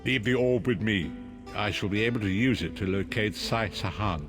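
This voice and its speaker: An actor playing a solemn, cryptic old prophet in a fantasy game, speaking in a measured, formal manner. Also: male voice, on the low side, close to an everyday voice. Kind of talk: scripted fantasy game dialogue. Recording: music behind the voice.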